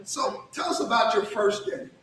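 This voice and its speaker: A man's voice: extremely high pitch, expressive, moderate pace, a crowd in the background. A man from Mississippi, hosting a panel interview in an upbeat, emphatic way. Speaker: podcast host. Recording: echoey room, mic at a distance.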